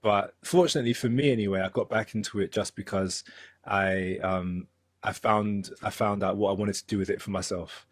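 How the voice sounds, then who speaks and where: A man's voice: fairly low pitch, steady tone, moderate pace, no room sound. A man in his thirties with a London accent, a musician and author talking thoughtfully as an interview guest, with frequent ums and restarts. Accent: London English. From London, England. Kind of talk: relaxed conversational interview.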